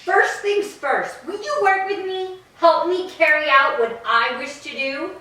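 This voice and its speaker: A woman as a bright, high-voiced vengeful goddess. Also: full character voice. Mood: resentful. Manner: deliberate, formal. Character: proud, vengeful warrior goddess. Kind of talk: staged reading of Greek tragedy. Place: echoing church interior. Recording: very echoey hall, distant mic.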